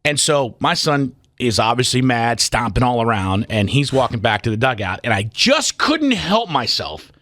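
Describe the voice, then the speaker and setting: A man's voice: medium pitch, big pitch swings, moderate pace, hardly any pauses, a bit bright, no echo. A middle-aged man hosting a morning radio show, telling comic stories and bantering on a close studio microphone. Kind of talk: animated comic storytelling and banter.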